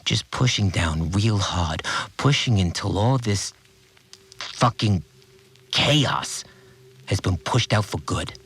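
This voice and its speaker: An actor playing an obsessive, resentful cleaner confiding in a dramatic audio diary, agitated and brooding. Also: male voice, fairly low pitch, lively intonation, slow, pauses often, fairly bright tone, husky, exaggerated voice. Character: obsessive, unhinged male cleaner, seething with murderous resentment. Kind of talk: dramatic first-person audio diary monologue.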